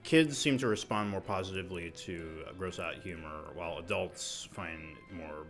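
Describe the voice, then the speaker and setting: Low-ish guy w bright sharp voice, lots of um and like, faint music. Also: normal speed. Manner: lots of fillers and mm-hmms, hesitant. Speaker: podcast co-host. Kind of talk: casual chat.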